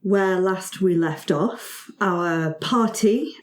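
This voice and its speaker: A woman's voice: fairly low pitch, drawn-out words. A woman running a tabletop fantasy game, narrating off the cuff in a playful, conversational way. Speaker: game master. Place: studio. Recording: roomy, echoey studio.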